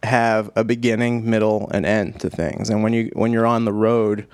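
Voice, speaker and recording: A man hosting a podcast, chatting casually in an easygoing, halting way. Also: on the low side, fairly even intonation, a little mellow, no room sound. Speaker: podcast host, musician.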